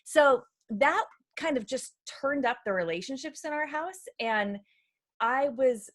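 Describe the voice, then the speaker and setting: Middle-aged woman, fairly high voice, interview guest, animated, informal storytelling. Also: measured pace. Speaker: interview guest, author and podcaster. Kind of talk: casual conversational storytelling.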